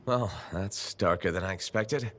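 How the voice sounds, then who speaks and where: A calm, steady hero, lowish voice. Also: male voice, unhurried, a little hiss or hum. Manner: calm, reassuring, level. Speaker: player character. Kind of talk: video game dialogue.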